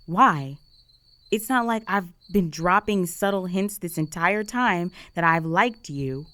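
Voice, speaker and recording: A slightly low-voiced young female roommate, acted by a woman in a romantic audio roleplay. She is jealous and easily flustered, hiding a crush. Her delivery is intimate, conversational and hesitant, with feelings close to the surface. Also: animated, takes it slowly, fairly bright tone, dry recording. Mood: hurt, exasperated. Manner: conversational, hesitant, sighing, emotionally exposed.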